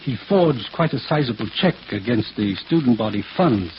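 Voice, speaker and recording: An actor playing a dignified, reluctant college dean in an old radio drama, formal and measured. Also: male voice, medium pitch, animated, lightly acted. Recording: muffled, hissy old radio recording.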